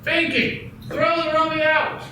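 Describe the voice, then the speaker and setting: A man playing a gruff, barking bartender. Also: extremely high pitch, flat delivery, unhurried, bright, forceful tone, slight background noise, full character voice. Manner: barking, brusque tough-guy talk. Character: gruff male bartender, rough and hostile. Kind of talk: amateur radio play acting. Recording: echoey room, far off, music behind.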